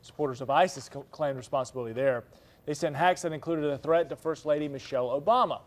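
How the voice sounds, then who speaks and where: A man's voice: fairly high pitch, quick pace. A man anchoring a morning TV newscast from a studio. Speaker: TV news anchor.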